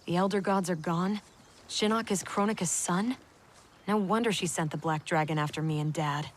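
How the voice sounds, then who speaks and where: A woman's voice: fairly low pitch, normal speed, natural voice. A woman playing an irreverent, tough young soldier in a video game, casual and blunt. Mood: incredulous, brash.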